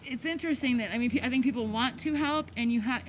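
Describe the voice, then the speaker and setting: A middle-aged American woman talks casually in a slightly higher voice. She keeps breaking off and rephrasing, with faint noise in the background. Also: fast, rough recording. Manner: hesitant, self-interrupting. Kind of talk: casual, unscripted discussion.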